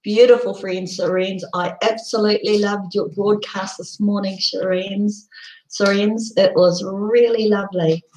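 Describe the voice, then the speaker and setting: A woman with a croaky, hoarse voice, hosting a casual live broadcast and rambling with many ums. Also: medium pitch, fairly even intonation, a little slow, a little mellow, echoey room, slight background noise, rough recording. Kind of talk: casual live-stream chat.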